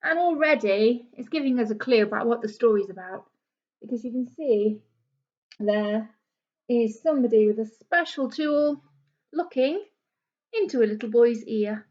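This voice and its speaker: A woman's voice: on the high side, moderate pace. A woman, a primary teacher reading a storybook aloud to young children and explaining it simply with questions. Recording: dull lo-fi small camera recording.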